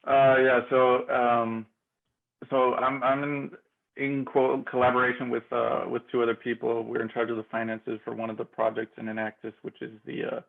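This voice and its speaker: A man's voice: mid-range voice, little pitch movement, normal speed, gravelly. A young man in his twenties from Austria, a grad student guest in a casual interview, talking with many fillers and restarts. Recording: tinny phone-like line.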